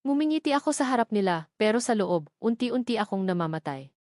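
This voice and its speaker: A woman's voice: medium pitch, expressive, a little fast, a little mellow, no room sound, studio quality, lightly acted. A woman voicing a lonely, despairing young mother confiding in her journal, in a sorrowful story narration. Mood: lonely, sad.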